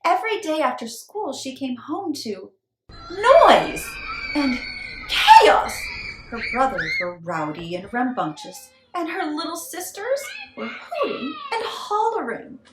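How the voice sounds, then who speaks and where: Woman, children's story reader, slow, very animated, theatrical emphasis, roomy echo. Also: high voice, shouting. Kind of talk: expressive scripted storytelling for children. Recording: roomy echo with background noise.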